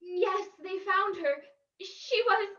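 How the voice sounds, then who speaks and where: A woman plays a polite, anxious young heroine in an old radio mystery. Her voice is extremely high and bright. It sounds distant from the microphone. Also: slow, highs cut off, full character voice. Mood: polite, subdued. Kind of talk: old-time radio play reading.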